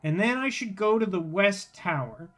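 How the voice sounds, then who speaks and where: High-voiced man streaming a game. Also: animated, moderate pace, a bit bright, soft background music. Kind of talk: live game commentary mixed with reading dialogue aloud.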